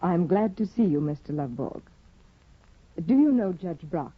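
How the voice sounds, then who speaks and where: A dull-sounding old radio recording of a young aristocratic wife. She is imperious and restless, swinging theatrically in pitch with crisp, sardonic disdain. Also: very animated, a little slow, exaggerated voice. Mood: cool, composed. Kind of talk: 1950s radio drama acting.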